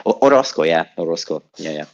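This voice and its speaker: Deep-voiced Swiss caller, Italian native. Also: male voice, animated, fast, a little mellow, lo-fi sound. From southern Switzerland. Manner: rambling, with many restarts and repeated words. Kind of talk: casual call-in chat. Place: home in Zurich.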